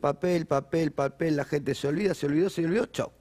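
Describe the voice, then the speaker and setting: A man's voice: fairly high pitch, brisk, a little mellow. A young man with an Argentine accent, a studio guest, blunt and streetwise, repeating his points. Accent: Argentine Spanish.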